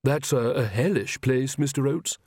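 Man doing an awkward, halting, well-meaning king. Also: medium pitch, darkish tone, no echo, clean studio sound, close to an everyday voice. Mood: disappointed, courteous. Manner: halting, diffident, formal and courteous. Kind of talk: audiobook character dialogue.

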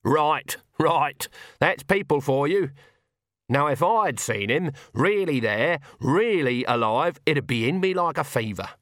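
A man's voice: a little high, animated, slow, a bit bright, no echo, studio quality, voice pushed up. A man voicing a fierce, stubborn old country witch woman, blunt and folksy with an emphatic, scolding manner, in an audiobook. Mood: fervent.

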